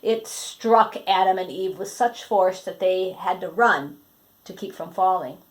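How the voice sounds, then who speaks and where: Expressive woman reading a children's storybook. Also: lowish voice, a little slow. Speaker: storybook reader. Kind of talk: expressive read-aloud of a children's book.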